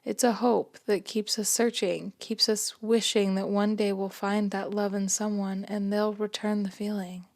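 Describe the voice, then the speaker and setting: A woman reading her own first-person story aloud in a gentle, soft-spoken way. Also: medium pitch, flat delivery, measured pace, few pauses. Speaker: author and narrator.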